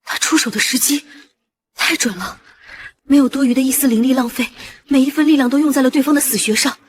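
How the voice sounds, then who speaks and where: An actress playing a proud, earnest young noblewoman warrior in an animated fantasy drama, formal and resolute. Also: high-pitched, a little fast, slightly rough. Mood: astonished.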